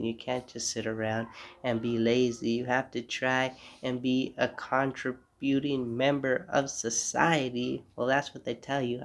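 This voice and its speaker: Young man with a stuffy nose, creaky voice. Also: medium pitch. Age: young adult. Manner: rambling, reflective, apologetic asides. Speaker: podcast host. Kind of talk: casual solo podcast monologue. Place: home room.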